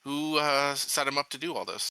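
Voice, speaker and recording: A man playing a tabletop roleplaying game, improvising in character in a measured, halting way. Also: on the high side, pushed and bright, smooth, no echo.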